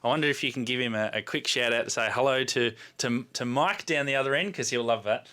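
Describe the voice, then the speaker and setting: A man's voice: medium pitch, quick pace, bright, forceful tone. A man with an Australian accent hosting a casual, conversational interview. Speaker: interview host.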